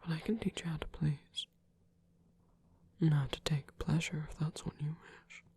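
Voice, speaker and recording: A man playing a gentle, tender old vampire priest in an intimate ASMR roleplay, speaking slowly and hesitantly in a soft, breathy whisper. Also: a little high, steady tone, slight background noise, close to an everyday voice. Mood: tender, teasing. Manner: slow, hesitant, reassuring, softly formal phrasing.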